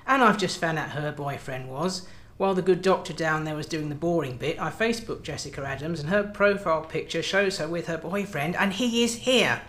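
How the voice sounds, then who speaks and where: A man's voice: on the high side, a little fast, fairly bright tone, close to an everyday voice. A man playing a sardonic, furious intelligence boss in a radio drama, biting and accusatory. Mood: angry, exasperated. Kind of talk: tense radio drama dialogue.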